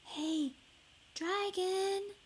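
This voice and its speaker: A woman's voice: extremely high pitch, steady tone, drawn-out words, slight background noise, pitched above the performer's own voice, exaggerated voice. A woman reading a children's storybook, playing a bold, clever young princess with emphatic delivery. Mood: calling out. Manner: emphatic, calling out. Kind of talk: children's storybook read-aloud.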